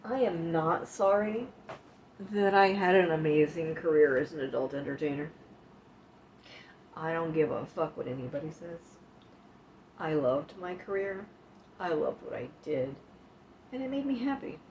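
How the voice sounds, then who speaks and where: A woman's voice: sits low, unhurried, pauses often, darkish tone, a little hiss or hum. A woman hosting a casual livestream, sniffly and coughing from the flu, talking frankly and with swearing straight to her chat. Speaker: livestream host. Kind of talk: casual livestream chat about self-care. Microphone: streaming mic. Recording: dull lo-fi stream audio.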